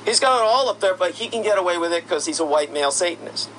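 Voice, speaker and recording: A man's voice: sits high, lively intonation, fast, a bit bright, slight background noise, rough recording. A man delivering an indignant, accusatory monologue that builds into heated outbursts. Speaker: commentator. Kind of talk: monologue rant.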